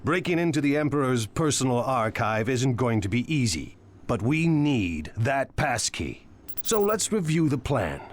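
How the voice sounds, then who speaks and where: An actor playing a cunning, ruthless crime lord in a video game, speaking in a measured, condescending and deliberate way. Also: male voice, medium pitch, sing-song. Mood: calculating, commanding. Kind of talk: dramatic video game voice acting.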